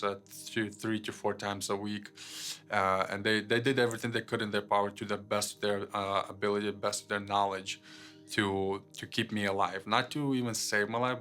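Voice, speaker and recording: Man from Ukraine, low and very monotone voice, hesitant with fillers, faint music underneath. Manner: halting, many fillers and repeated words. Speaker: guest sharing his testimony. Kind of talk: personal testimony, unscripted storytelling.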